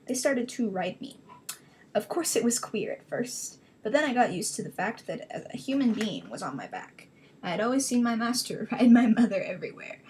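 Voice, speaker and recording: Animated woman reading a story, slightly noisy recording. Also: medium pitch. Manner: rambling, self-mocking. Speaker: vlogger reading own childhood story aloud. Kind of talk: dramatic reading with casual joking asides.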